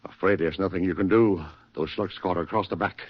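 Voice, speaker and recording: A brusque, urgent wartime comrade, speaking low in clipped commands with a rough, husky edge. It is 1940s radio drama on a dull old recording. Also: male voice, fairly even intonation, a little fast, no echo. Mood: grim.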